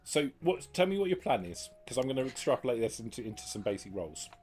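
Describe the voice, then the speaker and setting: A man's voice: medium pitch, big pitch swings, fast talker, a little mellow. A man acting as game master, conversationally checking players' plans and explaining the rules of a tabletop roleplaying game.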